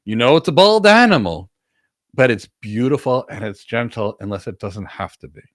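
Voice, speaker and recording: A man's voice: medium pitch, expressive, moderate pace, no room sound, clean studio sound. A man coaching in an informal Q&A, explaining in an assertive, emphatic way and repeating key words for stress. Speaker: career coach and host answering audience questions.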